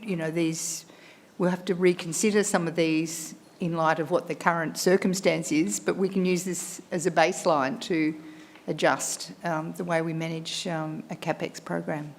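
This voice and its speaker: A woman's voice: fairly low pitch, steady tone, moderate pace. A woman councillor speaking appreciatively in a meeting, using fillers like "you know". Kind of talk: meeting discussion.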